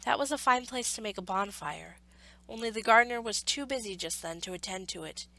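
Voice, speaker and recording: A woman reads a children's story aloud as its narrator. Her voice is bright and sits at a middle pitch, with measured, expressive delivery. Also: gravelly, low-quality recording.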